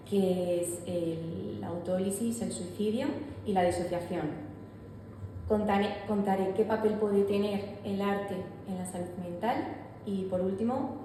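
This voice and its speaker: Woman presenting at a talk, mellow voice, polite and orderly, echoey hall. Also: medium pitch. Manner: courteous, orderly, outlines her talk. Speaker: mental health professional speaker. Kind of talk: formal public talk. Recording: echoey hall with long reverb, faint room noise.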